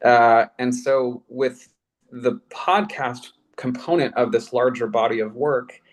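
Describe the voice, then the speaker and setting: Earnest, articulate American man, mid-pitched. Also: fairly even intonation, takes it slowly. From Colorado, United States. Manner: earnest, articulate, elevated wording. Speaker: podcast creator and artist. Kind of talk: reflective panel conversation.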